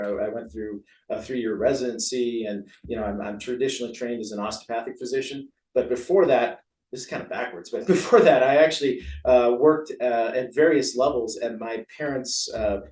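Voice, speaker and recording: A man's voice: medium pitch, darkish tone. A man with an American accent, a physician giving chatty, rambling, self-deprecating explanations as an interview guest. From San Francisco, USA. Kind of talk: casual explanatory interview talk. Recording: roomy echo, distant from mic.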